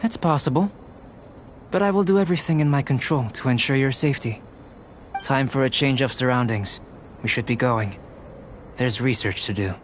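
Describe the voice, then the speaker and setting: A man voicing a calm, curious young male alchemist in a video game, with precise, academic and politely detached delivery. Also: medium pitch, a little hiss or hum, natural voice. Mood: composed, reassuring. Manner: measured, precise, academic phrasing.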